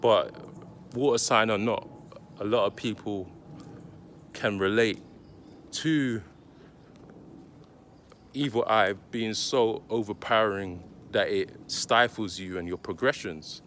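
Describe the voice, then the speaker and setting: A man talking directly to his viewers, giving casual spiritual advice in a slow, deliberate, reflective way with pauses. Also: lowish voice, a bit bright, slight background noise. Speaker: spiritual content creator.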